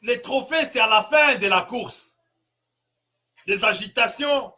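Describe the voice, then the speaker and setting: Congolese pastor, male, high and bright-toned, fiery rhetorical delivery with shouted peaks. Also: expressive, takes it slowly. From Congo (DRC). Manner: emphatic, rhetorical questions, bursts of shouting. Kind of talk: impassioned political sermon.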